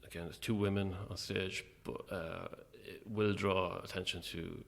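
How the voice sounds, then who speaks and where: Deep, gravelly Irish man chatting. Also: fairly even intonation, moderate pace. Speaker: playwright interviewed as guest. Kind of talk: casual interview chat.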